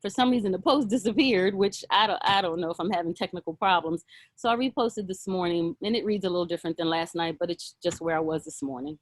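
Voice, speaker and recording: A woman sharing a personal reflection with a group on a video call, earnest and halting, with many fillers and restarts. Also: lowish voice, no echo. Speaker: circle participant.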